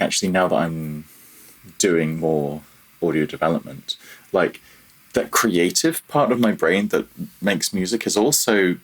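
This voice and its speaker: Male interview guest, deep and husky, informal, modest, feeling his way through each thought. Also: rough recording. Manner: hesitant, self-deprecating, thinking aloud. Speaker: podcast guest, freelance audio developer. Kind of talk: casual interview chat.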